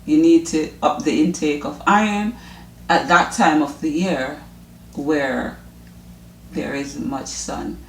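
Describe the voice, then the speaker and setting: A woman's voice: deep voice, slow, a little hiss or hum. A woman with a Jamaican accent, chatting informally and loosely to her viewers as the host of a wellness vlog. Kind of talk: casual rambling chat to viewers. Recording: echoey room, distant mic.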